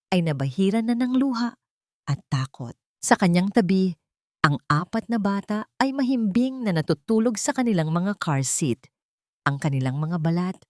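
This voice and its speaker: A woman's voice: lowish voice, darkish tone, dry recording, studio quality. A woman reading a scripted dramatic story as an audiobook narrator.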